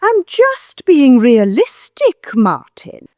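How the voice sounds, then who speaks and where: A woman's voice: high voice, wildly swooping pitch, takes it slowly, darkish tone, no room sound, studio quality, heavily acted. A woman playing a haughty, disapproving older mother-in-law in an audio drama, pompous and full of righteous insinuation. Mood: indignant, defensive.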